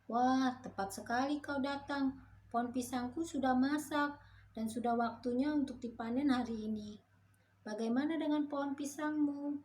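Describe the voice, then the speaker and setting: Woman voicing a gentle trusting frog for a kids' story, raised pitch, polite. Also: fairly even intonation, moderate pace, slight background noise, close to an everyday voice, poor audio. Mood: cheerful. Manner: polite, pleading. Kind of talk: children's storybook reading.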